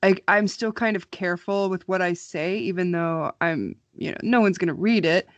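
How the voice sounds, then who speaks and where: A woman's voice: on the low side, normal speed, dry recording. Young woman chatting, close dry sound. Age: young adult. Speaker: podcast co-host. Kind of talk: casual chat between friends.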